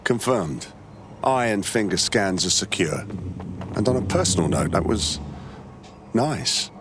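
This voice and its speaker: Deep, suave secret agent. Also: male voice, lively intonation, measured pace, background noise. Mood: smug, teasing. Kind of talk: video game voice acting.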